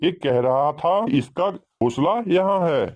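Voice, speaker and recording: Man voicing a higher-pitched proud cartoon crow. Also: animated, takes it slowly, darkish tone, dull audio. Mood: surprised. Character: resentful, proud adult male crow.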